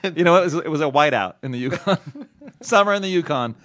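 Lively, laughing male podcast host. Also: fairly high pitch, fairly quick, dry recording. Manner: laughs often, jokey and animated. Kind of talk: casual banter.

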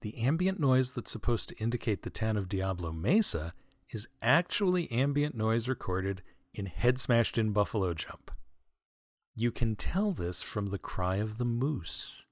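A man's voice: lowish voice, big pitch swings, measured pace, no room sound, clean studio sound. A man hosting a podcast, reading scripted comic corrections and listener mail with asides in a tongue-in-cheek, mock-earnest way.

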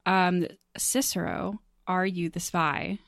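A woman hosting a game show among friends, casual and upbeat and quick to laugh. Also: on the low side, steady tone, creaky, a bit bright, dry recording, studio quality.

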